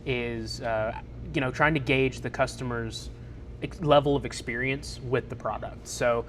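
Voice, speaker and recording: A man's voice: medium pitch, steady tone, unhurried, a little hiss or hum. A man co-hosting a casual chat, explaining how he guides customers and now and then voicing what a customer might say. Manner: explanatory, acts out customer quotes. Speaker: sauna salesman co-host. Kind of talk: casual sales advice chat.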